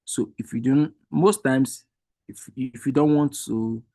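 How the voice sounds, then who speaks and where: A man's voice: mid-range voice, measured pace, warm tone, no room sound. A man whose first language is Igbo, explaining a screen-share tutorial step by step to a webinar group, patiently and with frequent restarts. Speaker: workshop trainer.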